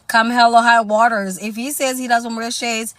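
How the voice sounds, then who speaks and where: A woman's voice: fairly high pitch, fairly even intonation, normal speed, dry recording. Sassy South African woman live streaming. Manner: sassy, calls viewers honey and girl. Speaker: dating lifestyle live streamer. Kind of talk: casual live stream chat.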